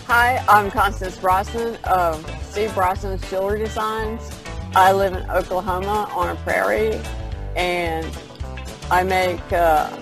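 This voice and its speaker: A woman's voice: mid-range voice, gravelly. A woman speaking casually as a panelist on a podcast. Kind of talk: casual podcast introduction and chat.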